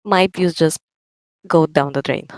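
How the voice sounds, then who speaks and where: A woman with a foreign accent, a soft-spoken guest who chats casually and laughs easily. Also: low-pitched, a little slow, no room sound. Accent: non-native English. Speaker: guest, TikTok creator and cosplayer. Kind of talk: casual interview chat.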